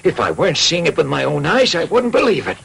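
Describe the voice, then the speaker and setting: Excitable old woodcarver, wildly swooping voice. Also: male voice, a little high, quick pace, creaky, slightly rough, exaggerated voice. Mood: indignant. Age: older. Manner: muttering to himself, exclaiming. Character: kindly, excitable old woodcarver, easily exasperated father. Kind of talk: animated cartoon dub acting. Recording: music behind the voice.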